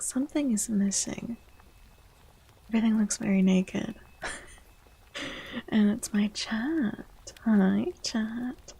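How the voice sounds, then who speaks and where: A woman livestream host chatting gently in a soft, breathy ASMR voice that often slips into whispers, close to the microphone. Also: a little high, rough recording. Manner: gentle, unhurried greeting of viewers.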